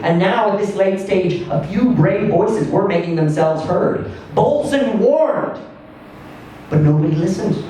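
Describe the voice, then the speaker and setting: A man reading a literary short story aloud in a theatrical, emphatic manner, with deliberate pauses and swells. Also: high-pitched, mellow. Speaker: story reader. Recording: distant echoey room with faint noise.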